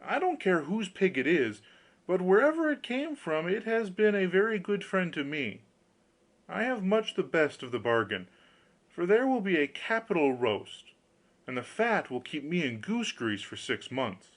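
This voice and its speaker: Man playing a naive, excitable storybook lad, swooping pitch. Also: normal speed, full character voice. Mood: pleased, smug. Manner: exclamatory, naively enthusiastic. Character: cheerful, gullible young man, easily pleased with bargains.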